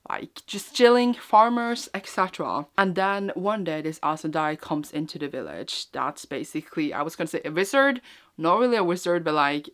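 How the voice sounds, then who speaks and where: Low-voiced young woman, gushing casual vlogger. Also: expressive, moderate pace, fairly bright tone. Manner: gushing, informal, rambling with self-corrections. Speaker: book vlogger and readalong host. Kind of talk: casual, chatty vlog talk.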